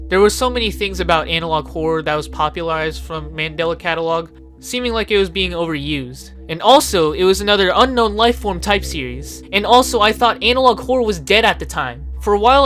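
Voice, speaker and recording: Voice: mid-range voice, a bit bright. A narrator giving an informal, opinionated video essay commentary and swearing freely. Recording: music playing behind the voice. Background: background music.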